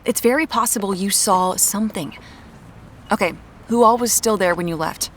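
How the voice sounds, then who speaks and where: An actress playing a brisk, evasive young woman amateur detective in an audio drama, dry and matter-of-fact. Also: mid-range voice, slight background noise, close to an everyday voice.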